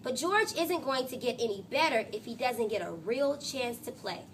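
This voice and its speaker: A woman's voice: a little high, expressive, normal speed, distant mic, a little hiss or hum, lightly acted. A woman reading a storybook, voicing an earnest, fair-minded, slightly hesitant young schoolboy who reasons things out. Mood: reasoning.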